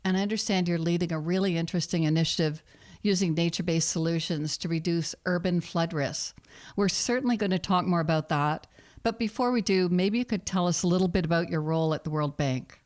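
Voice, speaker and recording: A woman's voice: lowish voice, a little fast, darkish tone, dry recording, studio quality. A woman hosting a podcast interview, introducing guests and asking questions in a composed, scripted manner. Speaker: podcast host.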